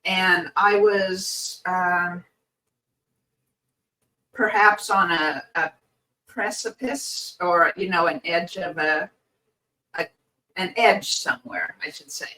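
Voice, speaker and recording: Podcast co-host, woman, croaky voice, halting and unhurried, sits a little far off the mic. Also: on the low side, pushed and bright. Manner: hesitant, many pauses and fillers. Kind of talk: slow reflective podcast chat.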